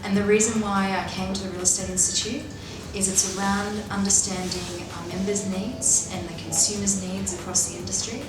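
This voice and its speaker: A woman's voice: mid-range voice, level tone. Businesswoman in an echoey room. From Australia. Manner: measured, businesslike, with frequent fillers and restarts. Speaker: interviewee. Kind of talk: interview answers. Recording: echoey room, far from mic, loud background noise.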